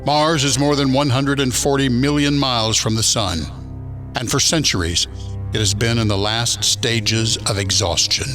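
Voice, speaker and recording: A man's voice: mid-range voice, moderate pace, a bit bright, soft background music, natural voice. A man playing a grave, formal narrator in a science fiction radio drama. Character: grave omniscient male narrator of an alien invasion tale.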